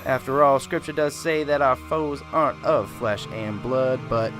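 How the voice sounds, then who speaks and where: A man doing a soft-spoken, pious small-town reverend in a radio drama. He speaks at an even middle pitch, gently and at length, wandering off on tangents. Quiet music plays underneath. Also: measured pace, close to an everyday voice. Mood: solemn.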